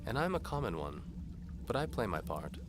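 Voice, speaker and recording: A man's voice: lowish voice, sing-song, normal speed, noisy background, pitched above the performer's own voice. A man voicing an earnest, humble young woman, an alchemist's apprentice, in an audio drama. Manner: earnest, deferential, instructive.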